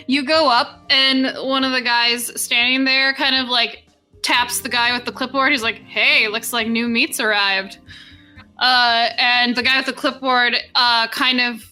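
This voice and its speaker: Woman GM, bright tone over faint background music, loose chatty narration. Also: a little high, fairly even intonation, a little slow, clean tone. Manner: casual, filler-heavy narration with voiced NPC lines. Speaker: game master. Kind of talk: narrating a tabletop game.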